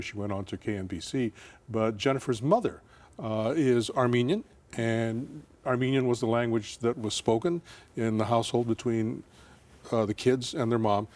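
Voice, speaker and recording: A man hosting a television interview show, talking casually with frequent fillers and restarts. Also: medium pitch. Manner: relaxed, halting, many fillers and restarts. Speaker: TV show host.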